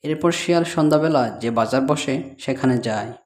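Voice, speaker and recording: A man's voice: medium pitch, normal speed, a little mellow. A man narrating a scripted children's story, with music behind.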